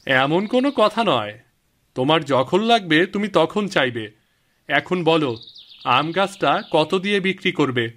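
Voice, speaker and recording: A man's voice: on the high side, animated, moderate pace, fairly bright tone. A man voicing an earnest, persistent village fellow in a cartoon moral story. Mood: concerned. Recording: music behind the voice.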